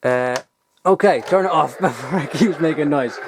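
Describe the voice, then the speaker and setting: Excitable young man rambling over toys. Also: fairly high pitch, moderate pace, noisy background. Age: 20s. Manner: excitable, rambling, swears casually. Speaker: toy collector vlogger. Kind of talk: casual unboxing chat.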